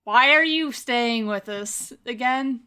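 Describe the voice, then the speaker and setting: A woman's voice: a little high, takes it slowly, bright, forceful tone, clean tone. A woman improvising casually as a player in a tabletop roleplaying game.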